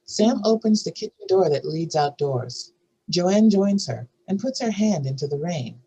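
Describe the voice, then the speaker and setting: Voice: mid-range voice, animated, a little slow, warm tone, breathy edge, poor audio. A narrator reading out scene headings and stage directions at a screenplay table read.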